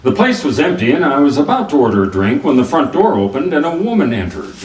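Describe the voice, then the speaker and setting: In a roomy recording set back from the mic, a man acts out a hard-boiled private detective from radio noir. His pitch moves freely through wry, clipped lines and narration. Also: huge pitch swings, quick pace. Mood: wry, narrating. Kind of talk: 1940s radio noir acting with scripted narration. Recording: roomy, distant microphone, music behind.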